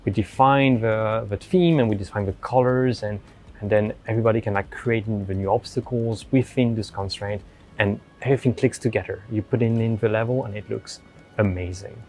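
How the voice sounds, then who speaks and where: A man speaking as an interview guest, explaining his work casually in a halting, enthusiastic way with emphatic words. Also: fairly low pitch, fairly even intonation, a little mellow, faint music behind. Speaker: lead artist, interview guest.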